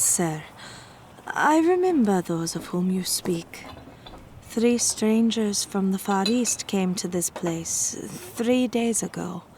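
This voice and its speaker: An actress playing a wary, gentle young village mother of ancient times in an audio drama, formal and hesitant. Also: medium pitch, slight background noise, natural voice.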